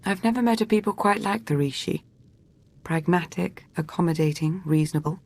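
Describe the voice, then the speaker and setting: A woman's voice: fairly low pitch, brisk, a little mellow. A woman voicing a shrewd imperial adviser in a video game, articulate and formal with dry wit. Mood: dry, thoughtful. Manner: articulate, formal, dryly witty. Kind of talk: video game character acting.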